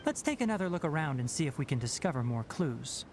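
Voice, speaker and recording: A man's voice: fairly high pitch, very animated, quick pace. An actor voicing a young, fox-eared male forest ranger in a video game, who talks in a precise, explanatory way. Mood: explaining. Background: game music.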